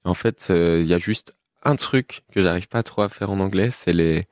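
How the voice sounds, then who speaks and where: A man in his twenties from Belgium, a musician guest chatting casually in an interview, relaxed with frequent laughs and fillers. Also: low voice, moderate pace, no room sound, studio quality. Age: 20s.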